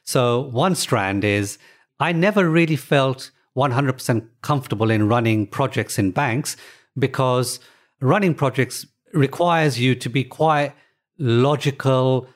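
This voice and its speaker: A man's voice: medium pitch, unhurried. Middle-aged guy being interviewed, easygoing, says you know a lot. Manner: thoughtful, lots of "you know" fillers. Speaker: guest, author of language books. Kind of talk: relaxed interview talk.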